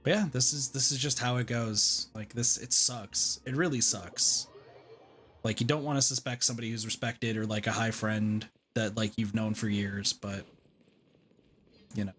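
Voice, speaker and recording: A man's voice: on the low side, fairly even intonation, moderate pace, fairly bright tone. A man giving casual, reflective livestream commentary on a game in an informal way. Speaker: game streamer. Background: faint game music.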